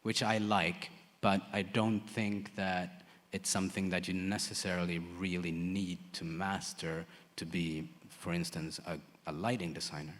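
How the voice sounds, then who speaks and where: A man who works as a lighting designer, answering audience questions in a halting way with many fillers and restarts. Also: deep voice, level tone, fairly bright tone. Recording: long hall reverb.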